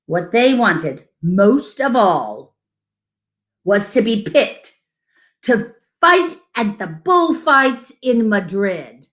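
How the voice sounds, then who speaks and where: Woman, children's story-time reader, unhurried pace, very animated intonation, gentle storytelling. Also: mid-range voice. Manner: gentle, deliberate storytelling with asides about the pictures. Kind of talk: expressive read-aloud of a picture book to children.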